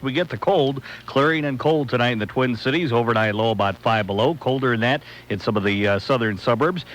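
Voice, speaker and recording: Male American meteorologist, average pitch, conversational forecast delivery for radio. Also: a bit bright, poor audio.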